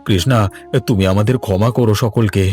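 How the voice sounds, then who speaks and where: A man's voice: on the low side, fairly even intonation, moderate pace, a little mellow, soft background music, natural voice. A man voicing a worried, mild village family man in a cartoon drama. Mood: imploring. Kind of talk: cartoon voice acting.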